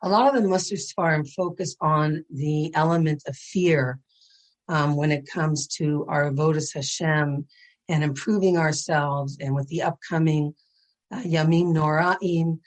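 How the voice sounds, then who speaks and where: A woman with a raspy voice giving a gentle, earnest spiritual class over a video call. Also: low-pitched. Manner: earnest and gentle, with frequent ums. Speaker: Torah educator and speaker.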